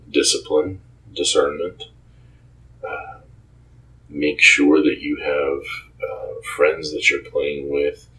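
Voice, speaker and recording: A man with an extremely low voice gives reflective interview answers. He talks slowly and haltingly, choosing his words with earnest care. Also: a bit bright, low-quality recording. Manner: halting, measured, earnest advice-giving. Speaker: game creator and author being interviewed.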